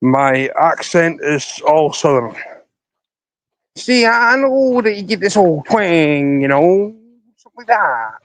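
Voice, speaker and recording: A man's voice: fairly high pitch, expressive, slow, no echo. A Scottish man chatting to viewers on a casual live stream outdoors by a river, rambling as he talks into his phone. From Scotland. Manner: rambling, chatty, talking to viewers while walking.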